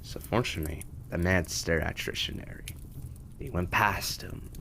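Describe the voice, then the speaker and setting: A performer voicing a casual, halting young hellhound in a fan comic dub. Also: low-pitched, creaky, noisy background. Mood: casual storytelling.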